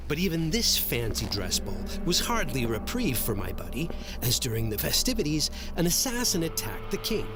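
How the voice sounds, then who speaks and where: An actor playing a wry, playful storyteller who narrates a fantasy game saga in scripted style, with teasing asides to the listener. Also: male voice, mid-range voice, natural voice. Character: wry male storyteller recounting a fantasy saga as the hero's buddy.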